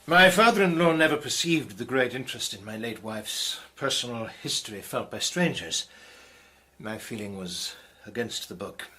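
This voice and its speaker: A man's voice: medium pitch, expressive, normal speed, bright, forceful tone. An actor playing a guarded, widowed Victorian clergyman in a period radio drama, formal, stiff and hesitant in his speech. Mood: guarded disapproval.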